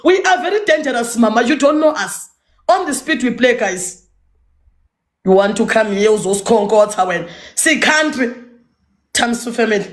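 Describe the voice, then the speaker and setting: A woman's voice: fairly high pitch, lively intonation, normal speed. A woman with a Zimbabwean accent in a defiant, taunting social media rant, repeating her phrases emphatically.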